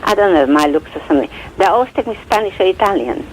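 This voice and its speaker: Chatty caller with a Polish accent. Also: female voice, mid-range voice, lively intonation, fast, a little hiss or hum, low-quality recording. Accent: Polish, mixed with English Midlands. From Poland. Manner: chatty and giggly. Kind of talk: casual phone-in chat.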